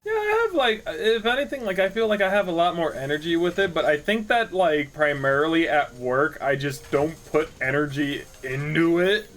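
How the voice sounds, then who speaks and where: A young man chatting casually while playing a video game, low-key and somewhat monotone. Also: normal speed, few pauses. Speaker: gamer. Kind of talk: casual let's-play chat.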